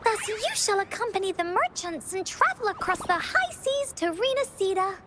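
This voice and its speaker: A woman's voice: very high-pitched, big pitch swings, normal speed, heavy background noise. A woman playing a cheerful little girl who tells adventure stories theatrically in a video game. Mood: storytelling. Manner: theatrical, cheerful, childlike storytelling. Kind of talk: video game storybook narration.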